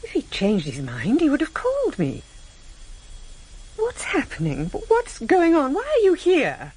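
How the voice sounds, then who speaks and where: An actress playing a composed, sharp-witted wife, guarded and anxious, in a radio drama. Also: female voice, high voice, wildly swooping pitch, unhurried, a little hiss or hum, full character voice. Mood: anxious, indignant.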